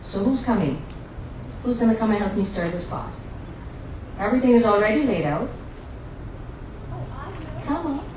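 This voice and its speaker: A woman's voice: mid-range voice, animated, moderate pace, pauses often, darkish tone, muffled recording, slight background noise. Woman host, chatty and jokey with the crowd, heard from a distance in a very echoey room. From born in Guyana, raised in Barbados. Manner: chatty, jokey, talks to the audience. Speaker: cooking demonstration host. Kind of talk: live cooking demo to an audience. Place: demo kitchen on a cruise ship. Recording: very echoey room, distant mic, faint ambience.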